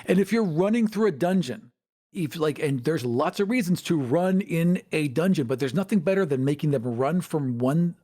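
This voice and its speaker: A man's voice: fairly high pitch, lively intonation, normal speed, warm tone. A man hosting a casual tabletop gaming discussion, talking like a storyteller setting up scenarios. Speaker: podcast host.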